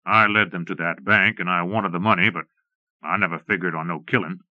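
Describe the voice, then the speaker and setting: A man's voice: low-pitched, fairly even intonation, brisk, no echo, lo-fi sound, close to an everyday voice. A man voicing a cocky captured outlaw leader in a western audiobook, with a wry, folksy manner. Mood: frank, uneasy. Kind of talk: western audiobook character acting.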